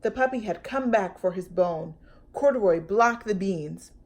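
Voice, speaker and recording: A woman reading a children's picture book aloud as a storytime host, with expressive narration, drawn-out words and playful sound effects. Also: medium pitch.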